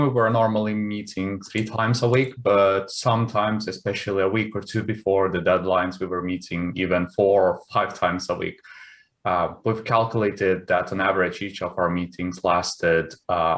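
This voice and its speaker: Deep-voiced young man. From Lithuania. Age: young adult. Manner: hesitant with many uhs. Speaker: student.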